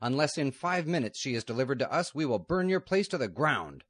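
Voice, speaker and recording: Guy doing a gruff outlaw boss, loud, menacing, swings all over. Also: mid-range voice, big pitch swings, unhurried, dry recording, pitched above the performer's own voice, exaggerated voice. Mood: threatening. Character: rough gruff outlaw leader, threatening.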